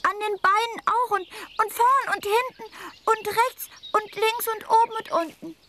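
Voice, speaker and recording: A woman's voice: very high-pitched, a little slow, noisy background. A woman voicing a small, pitiful, dramatic male tiger in a children's story, speaking plaintively. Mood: pitiful, suffering.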